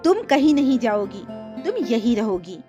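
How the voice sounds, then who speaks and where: A calm, firm cartoon mother, played by a woman, pitched a little high. She speaks instructively and with authority over light background music. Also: moderate pace. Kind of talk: cartoon moral story acting.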